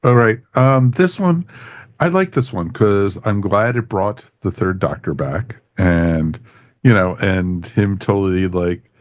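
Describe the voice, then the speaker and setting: A man's voice: fairly low pitch, takes it slowly, no echo, studio quality. A man co-hosting a podcast, giving an enthusiastic, casual review as he chats with others. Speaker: podcast co-host reviewing audio dramas.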